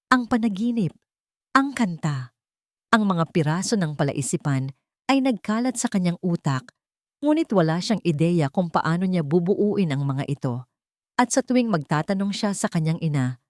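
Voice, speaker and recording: A woman narrating a dramatic love story in an expressive storytelling manner. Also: lowish voice, no room sound, studio quality.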